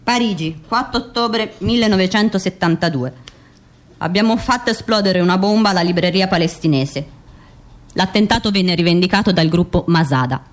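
An actress playing a cold, methodical agent of a killing squad in radio theatre, matter-of-fact and precise. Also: female voice, lowish voice, normal speed, close to an everyday voice. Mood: factual. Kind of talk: dramatic radio theatre, instructional recitation.

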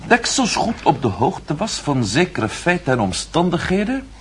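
A man's voice: a little high, big pitch swings, moderate pace, slight background noise. An actor playing a mocking, self-assured nobleman in a period radio drama, speaking with ornate, icy politeness. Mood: accusing, controlled.

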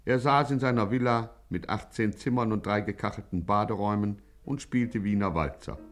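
A man's voice: lowish voice, normal speed, close to an everyday voice. A man narrating a 1950s radio crime satire in a mock-solemn, literary, dramatic manner.

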